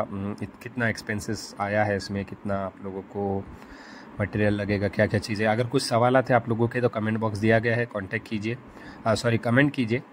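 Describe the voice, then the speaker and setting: Male vlog host, fairly low voice, easygoing and halting voice-over, faint room noise. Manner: relaxed, hesitant with frequent fillers. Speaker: farm channel host. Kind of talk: casual voice-over narration. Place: home studio, recorded separately as voice-over.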